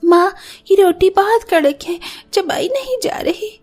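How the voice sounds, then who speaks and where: Very high-pitched whining child. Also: female voice, expressive, measured pace, a little mellow, faint music behind. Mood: pleading, hungry. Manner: childlike, plaintive. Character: hungry, whining, pleading small child. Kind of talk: cartoon story voice acting.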